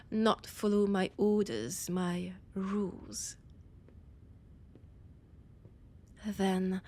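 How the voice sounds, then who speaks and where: A woman's voice: mid-range voice, a little mellow, breathy edge. A woman with a French accent voicing an annoyed, imperious vampire scholar in an intimate audio roleplay, haughty and commanding, often slipping into a whisper. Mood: stern, teasing menace.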